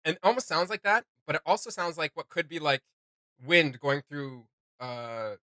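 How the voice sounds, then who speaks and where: A man's voice: on the high side, normal speed, pushed and bright, no echo. A man co-hosting a casual reaction video, rambling enthusiastically and often searching for his words. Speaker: co-host of a reaction channel.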